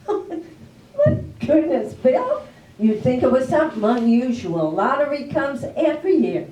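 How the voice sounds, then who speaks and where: A woman's voice: sits high, very animated, takes it slowly, warm tone, exaggerated voice. A woman playing a stubborn, practical farm wife in an amateur stage reading, emphatic and scolding in a homespun way. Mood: chiding, stubborn. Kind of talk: amateur readers theater stage acting. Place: live play in a public library room. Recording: echoey room, distant mic.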